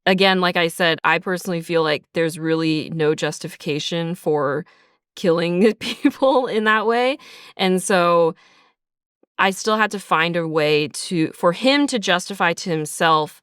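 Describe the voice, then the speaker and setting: A woman's voice: fairly low pitch, unhurried, a bit bright, clean tone, no room sound, studio quality. A woman guest speaking casually and reflectively as a writer in an interview, thinking aloud as she goes. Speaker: writer and creator of an audio drama, guest. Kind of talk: casual behind-the-scenes interview.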